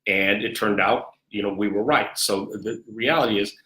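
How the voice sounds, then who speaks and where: In a roomy, echoing recording, a man with a lowish voice gives interview answers. He speaks as a sports executive, measured and conversational, often circling back over his phrases. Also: bright, forceful tone. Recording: echoey, roomy sound.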